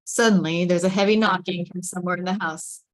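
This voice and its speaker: A woman's voice: lowish voice, fairly even intonation, fairly quick, no room sound, poor audio. A woman reading stage directions as dramatic scripted narration, with suspenseful, emphatic phrasing.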